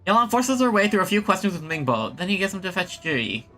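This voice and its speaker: A streamer comments casually on a game in informal, reactive asides. The voice is bright and very expressive, taking its time between thoughts. Faint game music plays behind. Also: medium pitch, fast. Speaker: gaming streamer. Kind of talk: casual let's-play commentary.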